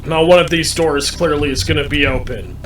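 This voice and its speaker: A man giving a gameplay walkthrough, deliberately explaining things to viewers and often repeating himself. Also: on the high side, a bit bright. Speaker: gamer commentating a playthrough. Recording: roomy, distant mic, faint background noise.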